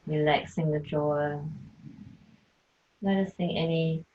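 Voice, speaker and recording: A woman guides a yoga nidra relaxation in a soft, soothing voice. She speaks slowly, low and even, with barely any rise or fall. The sound is thin and tinny, as if heard over a phone. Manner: slow, soft, soothing instruction.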